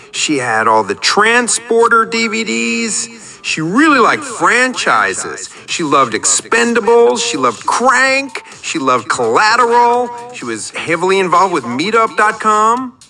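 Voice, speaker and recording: A man's voice: high voice, very animated, slow, pushed and bright, heavily acted. A man playing a self-deprecating, neurotic caller on a comic radio show, rambling earnestly and apologetically.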